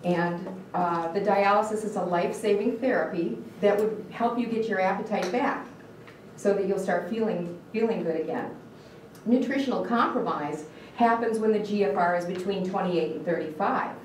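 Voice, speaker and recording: A woman's voice: medium pitch, normal speed. An earnest woman with a Michigan accent lecturing to a live audience, stopping to put questions to the crowd. The sound is very echoey and picked up from well back in the hall. A faint hiss sits under her voice. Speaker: dietitian giving a talk. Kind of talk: live educational talk to an audience. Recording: echoey room picked up from a distance.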